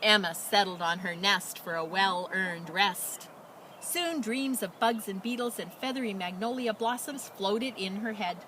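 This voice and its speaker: A woman reading aloud as a children's storyteller, with expressive, dramatic emphasis and casual delivery. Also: medium pitch, a little hiss or hum. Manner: animated, dramatic emphasis and drawn-out words.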